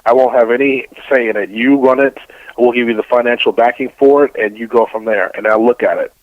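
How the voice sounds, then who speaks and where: A man's voice: mid-range voice, a little fast, dull audio. A middle-aged man, a radio host being interviewed, giving casual opinions and often repeating phrases as he builds a point.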